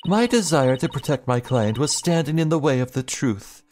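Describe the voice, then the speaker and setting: A man voicing a solemn, determined woman in a video game, speaking formally and deliberately. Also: fairly high pitch, expressive, a little mellow, faint music behind, pitched above the performer's own voice, lightly acted. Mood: resolute. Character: solemn, determined woman writer seeking the truth.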